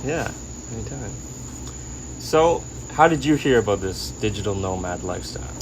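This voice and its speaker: A man's voice: lowish voice, lively intonation, moderate pace. Casual male interviewer over insect noise. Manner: informal, agreeable, frequent short affirmations. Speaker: interview host.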